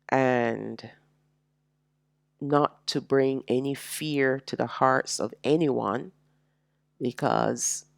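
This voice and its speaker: A woman teaching a slow, reflective sermon, sounding nasal and congested from allergies, with drawn-out, emphatic phrasing. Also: low-pitched, gravelly, dry recording. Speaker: religious teacher.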